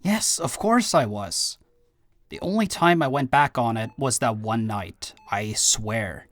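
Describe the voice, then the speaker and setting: A man playing a guilty, devoted brother and werewolf clan second in an audio roleplay drama, earnest and halting as he speaks. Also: medium pitch, expressive, a bit bright, no echo. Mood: pleading, earnest.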